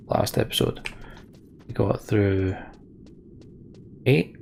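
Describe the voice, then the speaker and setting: A man's voice: on the low side, extremely slow, gravelly, a little mellow, a little hiss or hum. A man doing casual live commentary on a video game, rambling and thinking aloud as he plays. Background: game music, keyboard typing.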